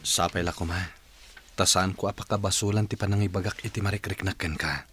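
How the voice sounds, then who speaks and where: An actor in a radio drama playing an earnest young man courting a woman, gentle and emotive. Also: low voice, fairly even intonation, fairly quick, slight background noise. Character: earnest young suitor, Dindo. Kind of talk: broadcast radio drama acting.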